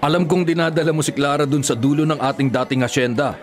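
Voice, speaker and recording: A man's voice: on the high side, quick pace, lightly acted. A man in a radio drama playing a bitter, domineering older father who was once mayor, speaking sternly and insistently. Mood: angry, commanding.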